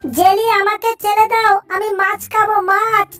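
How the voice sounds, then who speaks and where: An extremely high, sharp cartoon voice for a greedy little crocodile. It is childish and playful, sing-songing hungrily, with faint music behind it. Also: little pitch movement, moderate pace. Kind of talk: Bengali cartoon dub acting.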